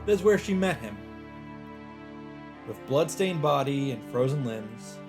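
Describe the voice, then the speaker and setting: A man's voice: mid-range voice, lively intonation, measured pace. Man narrating dramatically over music. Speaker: let's play host reading a visual novel aloud. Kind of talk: dramatic narration read aloud from on-screen text. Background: background music.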